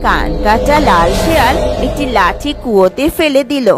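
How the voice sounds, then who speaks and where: A woman with a high voice narrates a scripted cartoon fable as a storyteller. Clear background music plays under her. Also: lively intonation, normal speed.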